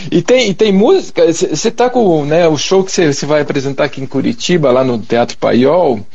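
A man's voice: a little high, normal speed, a little mellow. A Brazilian man hosting a casual interview, speaking hesitantly and searching for words, on lo-fi livestream audio.

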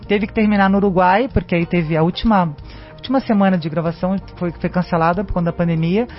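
An actress being interviewed as a guest, talking in a chatty, animated way with lots of fillers. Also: female voice, fairly low pitch, fast. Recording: dull lo-fi radio sound with faint music.

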